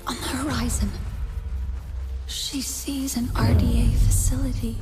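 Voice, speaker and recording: Game casting: actress as intent, solemn female seer, higher voice, halting slow delivery, loud score behind. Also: steady tone, over loud music, natural voice. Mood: intense, uneasy. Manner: halting short phrases, intent, hushed at moments. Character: solemn woman reading a creature queen's visions aloud. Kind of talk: video game character acting.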